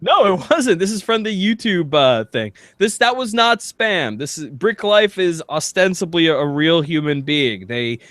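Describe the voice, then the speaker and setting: Animated man hosting a casual comedy podcast. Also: fairly high pitch, a little slow, hardly any pauses, no echo, studio quality. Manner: rambling, jokey, reads comments aloud. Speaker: podcast host.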